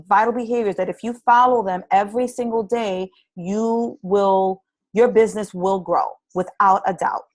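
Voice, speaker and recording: Earnest woman coaching new team members. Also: mid-range voice. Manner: earnest and encouraging, with frequent "um"s and restarts. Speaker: fitness business coach leading a team training call. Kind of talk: live conference-call training presentation. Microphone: conference call.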